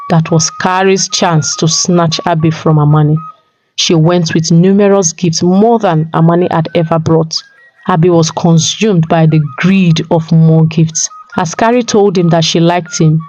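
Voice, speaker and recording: A woman's voice: fairly low pitch, darkish tone, poor audio. A woman storyteller reading a scripted folktale narration. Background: music.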